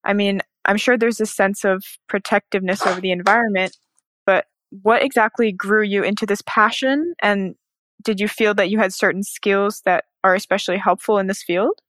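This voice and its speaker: A woman's voice: medium pitch, steady tone, normal speed, a bit bright, dry recording. Upbeat female podcast host, dry sound. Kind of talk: conversational interviewing.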